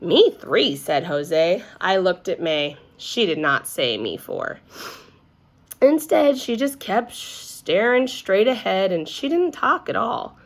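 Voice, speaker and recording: A woman reading a children's storybook aloud in an expressive, animated way, acting out the characters. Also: medium pitch, measured pace, few pauses. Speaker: read-aloud reader.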